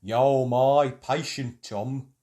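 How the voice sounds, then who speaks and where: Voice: mid-range voice, steady tone, drawn-out words, mellow. A storyteller voicing a bossy little girl animal from a children's book, firm and instructive.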